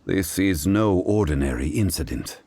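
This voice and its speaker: A man playing a composed, wise and dignified consultant in a video game, speaking in a measured, formal way. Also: low voice, lively intonation, darkish tone, breathy edge, full character voice. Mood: grave, watchful. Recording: music behind voice. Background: game music.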